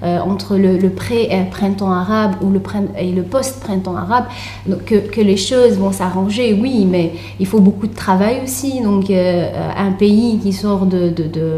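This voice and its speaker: Young woman, Arabic mother tongue, warm dark tone, stop-start conversational answers, echoey room with faint noise. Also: mid-range voice. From Tunisia. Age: young adult. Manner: hesitant, halting delivery with repeated words. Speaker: interview guest, author and translator. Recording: roomy echo, faint background noise.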